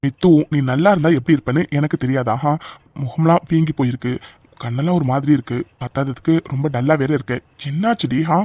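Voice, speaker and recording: A man's voice: medium pitch, fast talker, a little mellow, no echo, natural voice. A young man voicing a doting, protective husband who coaxes and pleads affectionately. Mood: probing. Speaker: voice actor. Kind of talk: audio drama acting.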